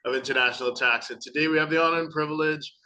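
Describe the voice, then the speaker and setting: Male interview host, bright crisp tone, polite and conversational. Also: a little high, fairly even intonation, racing, rough recording. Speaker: podcast host. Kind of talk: interview hosting.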